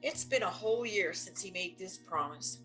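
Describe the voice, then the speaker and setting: A woman's voice: mid-range voice, lively intonation, normal speed, a bit bright, faint music behind, close to an everyday voice. An older woman playing a firm, practical, exasperated but loving wife in an audio play, matter-of-fact and insistent. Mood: wry. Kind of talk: audio play stage acting.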